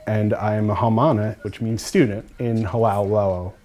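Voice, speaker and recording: A man's voice: fairly low pitch, fairly even intonation, moderate pace, warm tone. Earnest man from Philadelphia. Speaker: language student. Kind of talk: documentary interview.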